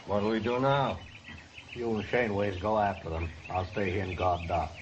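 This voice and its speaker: A man's voice: on the low side, steady tone, normal speed, natural voice. Frontier outlaw acted by a man, muffled radio sound. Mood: surly, menacing. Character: menacing Old West outlaw, surly and threatening. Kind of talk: 1950s radio western acting. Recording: muffled, noisy old radio recording.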